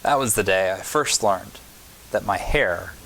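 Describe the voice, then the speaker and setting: A man, a pastor, reading a storybook aloud to children deliberately, pausing between phrases. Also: deep voice, a bit bright, a little hiss or hum, low-quality recording. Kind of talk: storybook read-aloud with informal remarks to children.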